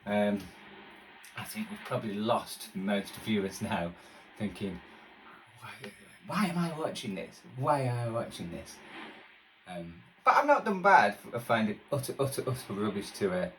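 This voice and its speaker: A man's voice: fairly low pitch, sing-song, normal speed, a little hiss or hum. Rambling man, distant from the mic. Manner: self-deprecating, muttering asides. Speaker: radio hobbyist presenter. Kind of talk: casual rambling vlog commentary. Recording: distant mic, radio playing behind.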